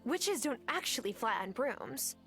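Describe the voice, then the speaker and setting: A woman's voice: sits high, very animated, measured pace, a bit bright, faint music behind, exaggerated voice. A woman acting a young novice witch in a video game, eager and wry, with a hesitant, questioning, incredulous manner.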